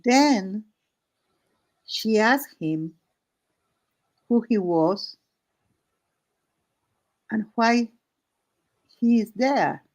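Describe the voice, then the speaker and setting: A woman who is not a native English speaker tells an improvised story casually, halting phrase by phrase with searching pauses. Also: on the high side, lively intonation, a little mellow, rough recording. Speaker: host of an art and story stream.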